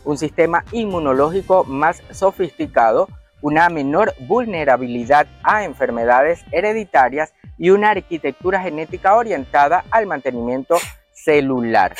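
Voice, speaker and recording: A man co-hosting a show, reading a segment and chatting with hesitant fillers when speaking freely. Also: a little high. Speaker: show co-host. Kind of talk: reading segment, casual chat.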